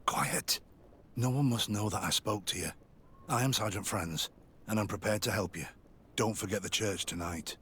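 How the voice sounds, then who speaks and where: A male actor plays a guilt-ridden sergeant turned secret informant in a video game. His low voice is earnest and guarded, as if confiding. Outdoor noise runs clearly behind him. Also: normal speed, fairly bright tone, lightly acted. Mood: hushed urgency. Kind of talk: video game cutscene acting.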